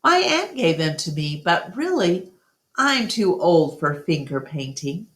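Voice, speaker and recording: A woman's voice: lowish voice, sing-song, measured pace, fairly bright tone. A woman voicing an eager, friendly young girl with a casual, childlike delivery in a children's storybook. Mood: matter-of-fact. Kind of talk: children's storybook read-aloud.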